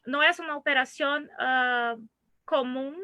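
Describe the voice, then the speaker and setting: Brazilian woman speaker, bright, crisp tone, Portuguese-accented Spanish with restarts, seminar talk. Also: high voice, fairly even intonation, no room sound. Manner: mixes Portuguese words into halting Spanish, frequent restarts. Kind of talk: online seminar lecture.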